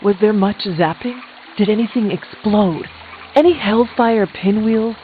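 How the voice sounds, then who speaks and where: A woman's voice: mid-range voice, unhurried, slight background noise, close to an everyday voice. Woman acting a formal warrior, tinny sound. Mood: curious, amused. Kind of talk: scripted video game dialogue. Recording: thin phone-like band, music behind.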